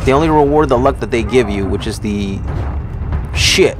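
A man's voice: mid-range voice, normal speed, noisy background. A man giving casual, profane video game commentary with a mocking, slangy way of talking. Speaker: gaming streamer. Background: video game music and effects.

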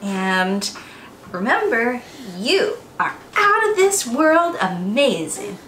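A young woman hosting storytime as a teacher, reading aloud to small children in an expressive, exclamatory way. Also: on the high side, slow pace. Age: young adult. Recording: roomy echo, faint background noise.

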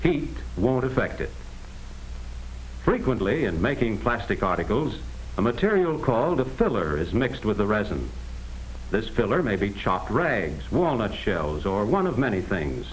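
An American man reading scripted 1940s educational film narration in a measured, explanatory manner on a vintage soundtrack. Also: on the low side, sing-song, a little hiss or hum, poor audio. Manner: measured, explanatory, addressing the listener directly.

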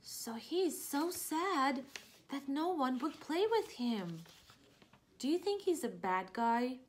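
A woman's voice: high-pitched, very animated, slow, a little hiss or hum. A young woman doing a picture-book read-aloud for small children, expressively explaining and asking questions. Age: young adult. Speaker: preschool teacher reading aloud. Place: living room indoors.

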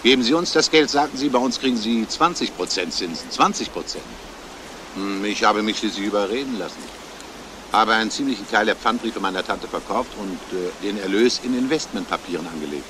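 An actor playing a despairing, self-justifying schoolteacher in a halting, brooding confession, in a crime radio play. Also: male voice, on the low side, animated, lightly acted. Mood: bitter, defensive. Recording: noisy old radio play recording.

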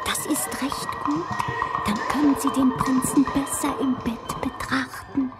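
A woman playing a polite tame female crow with formal, deliberate courtesy in a fairy-tale audio drama. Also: sits high, fairly even intonation, loud music behind the voice. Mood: knowing, hushed.

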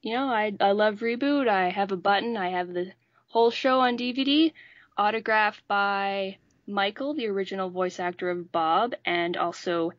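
Young woman co-host, mid-pitched, a little hesitant, dry muffled home-mic sound. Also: moderate pace, no room sound. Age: 20s. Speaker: podcast co-host. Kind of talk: casual podcast chat.

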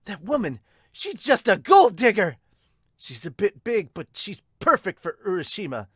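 A woman's voice: medium pitch, sing-song, normal speed, fairly bright tone, husky, no room sound, studio quality. A woman voicing snobbish, gossipy townsfolk in a manga dub. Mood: disdainful.

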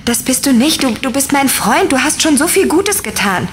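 A woman's voice: high-pitched, very animated, normal speed, slight background noise. An actress playing an earnest, curious young woman in a horror audio drama, questioning and sympathetic. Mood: shaken, sympathetic.

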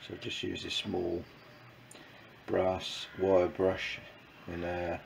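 A man giving informal hands-on workshop commentary in a halting, matter-of-fact way with clipped sentences. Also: low voice, completely flat delivery, creaky. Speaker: presenter of a motorbike build vlog. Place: small wooden shed workshop. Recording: noisy workshop recording with background ambience.

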